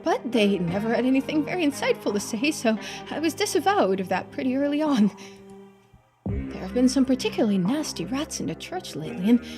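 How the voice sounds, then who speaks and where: A woman voicing a gentle, sincere, devout churchgoer with a polite, hesitant manner in a game. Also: high-pitched, very animated, a little fast, music in the background, voice pushed up. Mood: shyly grateful. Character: gentle, sincere devout church member who talks to animals.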